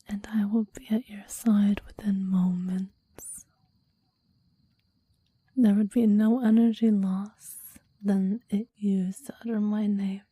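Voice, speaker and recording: A woman voicing a possessive, devoted demon in an intimate ASMR roleplay, speaking in soft breathy whispers with a formal, intense, adoring manner. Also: medium pitch, dry recording. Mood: insistent. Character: possessive, devoted clingy demon bound to a summoner.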